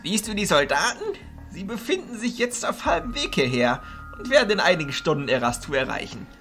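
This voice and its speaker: A man playing an elderly, authoritative arch-chancellor of illusionists in a fantasy audio drama, speaking in a theatrical, deliberate way. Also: high-pitched, very animated, sharp tone, soft background music, exaggerated voice. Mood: calm, questioning.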